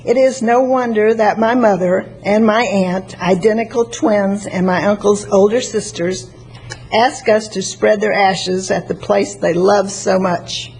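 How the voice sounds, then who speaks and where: A woman in her sixties from Florida with a soft voice, reading a prepared statement at a public hearing. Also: mid-range voice, steady tone, unhurried, rough recording. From Florida, United States. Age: 60s. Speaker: member of the public giving testimony. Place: public meeting chamber at a podium microphone. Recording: faint background noise.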